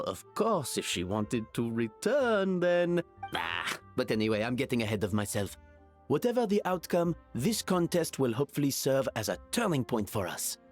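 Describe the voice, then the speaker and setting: A man's voice: mid-range voice, close to an everyday voice. A man voicing a polite hotel manager who speaks formally and a little flustered. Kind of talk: video game voice acting.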